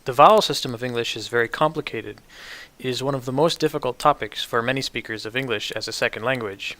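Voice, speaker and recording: A man with a New York City American accent gives an instructional pronunciation demonstration, enunciating words precisely and deliberately. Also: mid-range voice, sharp tone. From New York City, United States. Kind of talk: instructional demonstration with word lists.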